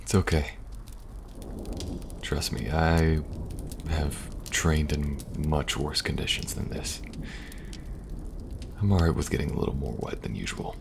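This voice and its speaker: An extremely low, fry-laden voice from a male actor gives a young male special agent a soft, protective air. He reassures gently and a little hesitantly, with wry humor, in an ASMR audio roleplay. Noisy ambience sits behind him. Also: animated, a little slow, pauses often, exaggerated voice. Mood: gentle, reassuring. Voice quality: gravelly, breathy at times. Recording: audible background noise, ambience.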